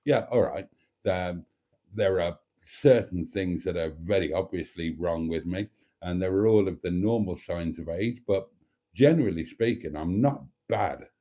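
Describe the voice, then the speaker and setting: Chatty British man in his fifties, low mellow voice. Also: measured pace. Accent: British English. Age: 50s. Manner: chatty and self-deprecating, calls viewers darlings; rambling with frequent ums and restarts. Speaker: vlogger host. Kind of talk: casual live-stream chat.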